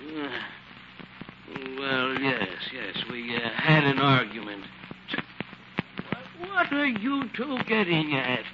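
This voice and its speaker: An actor playing an indignant, defensive landlord in a blustering, protesting manner in a 1940s radio drama. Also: male voice, medium pitch, big pitch swings, slow pace, a loud crowd. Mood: evasive. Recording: noisy, muffled 1940s radio recording.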